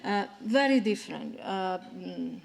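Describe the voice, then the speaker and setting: Woman lecturer, mid pitch, explains research step by step. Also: lively intonation, unhurried, vocal fry, crowd noise, poor audio. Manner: explanatory, with frequent fillers and restarts. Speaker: conference speaker. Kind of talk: scientific lecture and Q&A answers.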